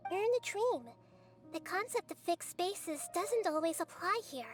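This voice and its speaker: A calm little goddess kid for a game, high light voice, explains stuff gently, music behind. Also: female voice, unhurried. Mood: matter-of-fact. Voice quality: light, childlike. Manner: composed, explanatory. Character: gentle little girl deity with dream powers. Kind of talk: video game voice acting.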